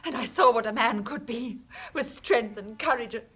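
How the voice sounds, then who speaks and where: An actress playing an anguished, defiant mistress of a cruel villain in a radio drama, intense and emotional. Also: female voice, fairly high pitch, normal speed, a bit bright, husky. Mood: anguished defiance.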